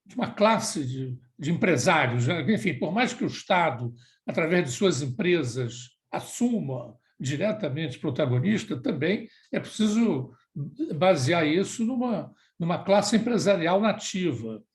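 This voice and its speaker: Guy interviewer asking a long question, kinda high voice, stops to find words. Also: big pitch swings. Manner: measured, pausing to search for words.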